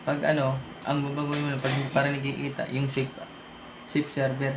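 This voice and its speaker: Gravelly Filipino man giving a casual tutorial. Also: mid-range voice, monotone, brisk. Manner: casual, thinking aloud step by step. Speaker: tech tutorial presenter. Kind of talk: informal hands-on tutorial. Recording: noisy amateur room recording.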